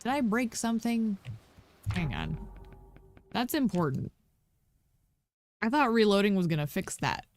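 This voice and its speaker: A woman streaming a game, croaky today, talking casually and thinking aloud with lots of ums. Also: mid-range voice, very animated, measured pace, pauses often. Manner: thinking aloud, many ums, chatty asides to herself. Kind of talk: casual gameplay commentary.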